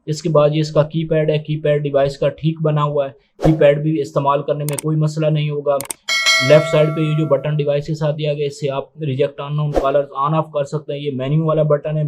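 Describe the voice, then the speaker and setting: A man's voice: on the high side, background noise. A man doing an unscripted gadget unboxing and review, explaining step by step in a methodical, matter-of-fact way. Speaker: tech reviewer presenting a phone unboxing. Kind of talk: unscripted step-by-step product demonstration.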